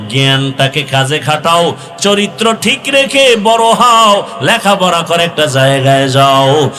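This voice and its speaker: Male Islamic preacher, Bangladeshi accent, sharp and forceful, impassioned chanting delivery, long echoing reverb. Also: fairly high pitch, sing-song. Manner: impassioned, chanting, drawn-out exhortations. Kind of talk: waz sermon to young people. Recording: long hall reverb with music behind.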